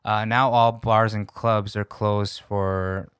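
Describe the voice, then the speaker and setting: A man's voice: lowish voice, flat delivery, slow pace, fairly bright tone, clear, resonant tone, no echo, clean studio sound. A man hosting a podcast in casual conversation. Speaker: podcast host. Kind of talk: casual chat.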